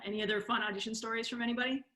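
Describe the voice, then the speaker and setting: A woman's voice: fairly high pitch, steady tone, rapid-fire, bright, forceful tone. A woman moderating a question and answer session as an interviewer over a video call. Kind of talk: moderated cast Q&A. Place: remote video call, echoey room. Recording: echoey video call audio.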